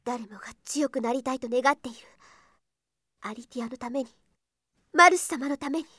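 A woman's voice: sits high, normal speed, fairly bright tone, no room sound. A woman playing an earnest, strong-willed young princess and pegasus knight in an anime audio drama. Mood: gentle, determined. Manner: earnest, impassioned. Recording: studio drama with music behind. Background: music.

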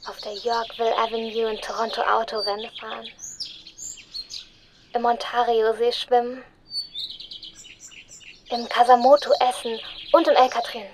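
Woman acting a dreamy young woman, flat melody, radio play monologue, outdoor bird noise. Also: on the high side, moderate pace, pauses often, natural voice. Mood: dreamy, longing. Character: dreamy young woman listing her wishes. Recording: clear outdoor noise with birdsong.

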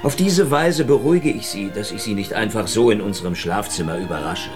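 An actor playing a wisecracking, flirtatious, world-weary agent who narrates and talks in a sardonic noir radio-drama style. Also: male voice, fairly low pitch, brisk, over music, close to an everyday voice. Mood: wry, teasing. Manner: sardonic, teasing. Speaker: main character and narrator.

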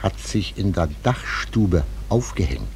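An actor playing a talkative, matter-of-fact small-town undertaker who gossips, in a radio drama. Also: male voice, low-pitched, big pitch swings, measured pace, gravelly, a little mellow, slight background noise, exaggerated voice. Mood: matter-of-fact, gossipy. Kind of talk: theatrical radio drama acting.

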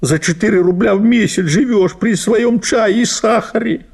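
A man's voice: sits high, normal speed, gravelly, a little mellow, husky, heavily acted. A man voicing an aggrieved, tearful peasant servant woman in a dramatized story, with plaintive folk speech. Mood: indignant. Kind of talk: dramatized literary short story reading.

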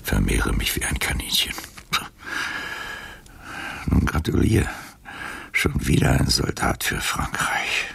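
An actor playing a bitter, proud old libertine in a literary radio drama, declaiming in a gravelly voice that moves between whispery asides and outcries. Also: male voice, very deep voice, expressive, takes it slowly, long pauses, fairly bright tone, full character voice. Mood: wry, musing.

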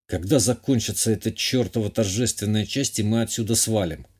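A man's voice: on the low side, brisk, darkish tone, breathy edge. A man playing a sardonic young count, a reluctant hero in an audiobook, with dry, grumbling delivery.

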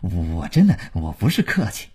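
A man's voice: low voice, wildly swooping pitch, normal speed, a little mellow, slightly rough, pitched below the performer's own voice, exaggerated voice. A man playing a fawning, ingratiating middle-aged man. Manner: fawning on the phone, whispering slyly.

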